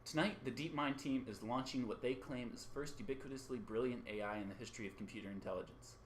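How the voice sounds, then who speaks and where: An earnest male radio co-host acted by a man talks in a mid-pitched voice with a placating, conciliatory manner. It sounds like an amateur radio play, with faint background noise. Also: fast talker, lightly acted. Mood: presenting.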